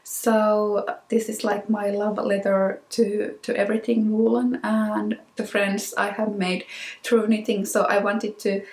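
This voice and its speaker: A woman in her thirties with a Finnish accent, a native Finnish speaker, hosting a knitting podcast in casual talk to camera, unhurried with many ums and restarts. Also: medium pitch, echoey room. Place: small room with plain wall, indoors.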